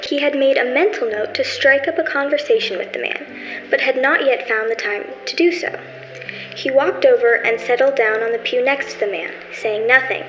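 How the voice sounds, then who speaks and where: High-voiced woman narrator, echoey. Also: normal speed, a bit bright, a little hiss or hum. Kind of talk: scripted radio play narration. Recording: echoey room, music behind.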